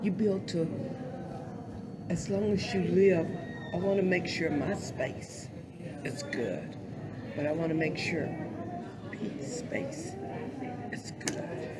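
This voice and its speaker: An older woman with a hoarse voice, speaking earnestly and reflectively as a guest in a conversational interview. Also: fairly low pitch, expressive, takes it slowly, long pauses, a loud crowd. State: hoarse voice after illness. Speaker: podcast guest, community leader.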